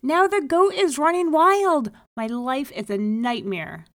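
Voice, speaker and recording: A woman's voice: on the high side, a little slow, darkish tone, no room sound. A woman voicing a poor, frantic man in a storybook, pleading and exclaiming melodramatically. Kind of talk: storybook read-aloud character.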